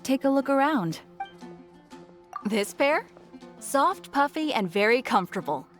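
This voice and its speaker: High-voiced chatty shopkeeper. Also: female voice, sing-song, measured pace. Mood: persuasive. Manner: obliging sales patter. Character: friendly, chatty souvenir shopkeeper woman, gently persuasive. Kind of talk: video game voice acting. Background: music.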